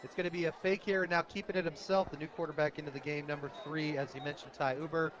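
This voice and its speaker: An American man doing live radio play-by-play of a football game in an energetic, conversational way. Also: fairly high pitch, fast, highs cut off. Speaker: radio play-by-play sports announcer. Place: outdoors at a high school football game. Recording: outdoor stadium broadcast with crowd noise. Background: crowd at a stadium.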